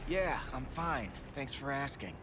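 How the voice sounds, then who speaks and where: A man's voice: mid-range voice, expressive, measured pace, noisy background, natural voice. A man playing a cocky, sarcastic young sidekick hero in a video game. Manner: sardonic. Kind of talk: video game cutscene acting.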